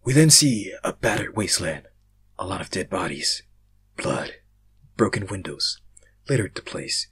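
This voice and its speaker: A young man reading fan fiction aloud, casual in his chat and theatrical in his narration, swinging between hushed and loud. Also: sits low, very animated, unhurried, long pauses, breathy edge. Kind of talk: casual intro and dramatic story narration.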